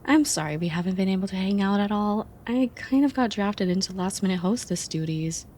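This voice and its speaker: A young woman playing an affectionate, teasing friend in an intimate ASMR roleplay, speaking softly and expressively. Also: medium pitch, fairly quick, close to an everyday voice. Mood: warm, apologetic. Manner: soft, playful, expressive.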